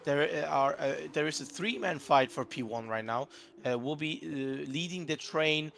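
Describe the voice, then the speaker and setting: A man's voice: on the high side, normal speed, vocal fry, a bit bright, slight background noise. Hesitant race co-commentator, man. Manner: hesitant, many uh fillers, searches for words. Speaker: sim racing co-commentator.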